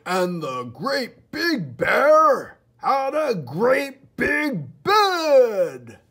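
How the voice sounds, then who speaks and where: A man voicing a huge great big storybook bear with a gravelly, exaggerated, drawn-out delivery. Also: very high voice, huge pitch swings, fairly bright tone, pitched above the performer's own voice, full character voice. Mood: grand.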